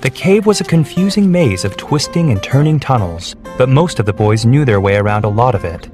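A man reading a children's storybook, clear and measured. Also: mid-range voice, expressive. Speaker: narrator. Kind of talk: scripted storybook narration. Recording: soft background music.

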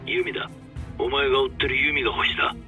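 A man playing a brisk, serious middle-aged caller in a video game, speaking bluntly over a phone. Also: medium pitch, fairly even intonation, soft background music. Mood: urgent. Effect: phone call. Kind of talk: dramatic video game cutscene acting.

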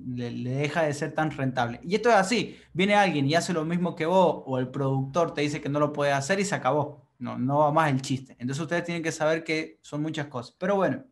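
Animated man, higher voice. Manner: animated, informal, addresses viewers as family. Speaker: online marketing instructor. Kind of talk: casual screen-share tutorial.

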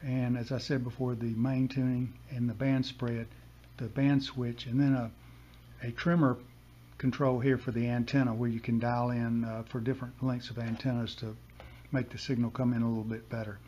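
A man in his seventies or older giving a casual, unscripted hands-on demonstration in a halting, plain-spoken way. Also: medium pitch, level tone. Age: 70s or older. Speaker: hobbyist demonstrating a vintage radio. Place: basement room with a desk. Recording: dull home recording, loud radio hiss.

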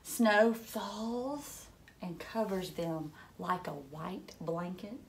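Slow, expressive woman reading a picture book. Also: medium pitch, slight background noise. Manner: expressive, drawn-out storybook delivery. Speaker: teacher reading aloud to children. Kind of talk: picture-book read-aloud for young children with questions and asides. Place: furnished living room at home, indoors.

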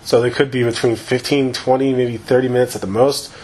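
A man giving loose, profane, rambling commentary over his own gameplay, his voice slightly hoarse after a long session. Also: medium pitch, steady tone, fairly quick, a little mellow. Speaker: gaming YouTuber.

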